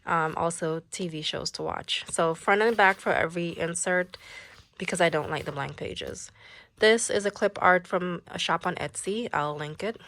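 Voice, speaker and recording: A woman's voice: lowish voice, moderate pace. Chatty young woman with a cold. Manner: chatty, relaxed, with fillers like "like" and "um". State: stuffy, slightly nasal from a cold. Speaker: planner vlogger. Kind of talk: casual show-and-tell planner walkthrough.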